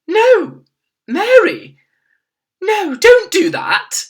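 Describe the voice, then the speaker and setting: A refined elderly queen with a posh, fluttery manner, as a woman reading aloud puts her on. The voice sits far above a normal speaking pitch and swoops widely. She draws her words out slowly. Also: huge pitch swings, fairly bright tone, full character voice. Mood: stunned. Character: elderly queen, refined, easily alarmed, upper-class.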